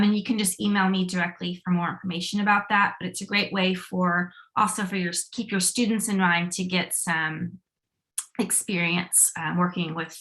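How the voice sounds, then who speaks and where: A woman hosts an online session and runs through announcements over a video call. Her voice is bright and forceful, at a middle pitch. She hesitates often, filling gaps with ums. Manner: hesitant, many ums and restarts. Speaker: event host making announcements.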